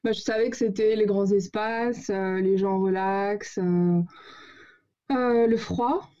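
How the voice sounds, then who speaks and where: A woman, telling her story as a guest, relaxed, with many fillers and pauses to search for words. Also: medium pitch, dull audio. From Paris, France. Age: 30s. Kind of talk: casual interview storytelling. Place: home over a call.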